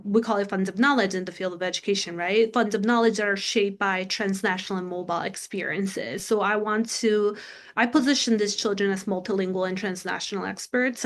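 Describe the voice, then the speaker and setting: Woman in her thirties, native Korean speaker from South Korea, presents research earnestly over a video call, lots of "um"s. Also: medium pitch. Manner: earnest, frequent "um" fillers. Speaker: guest lecturer.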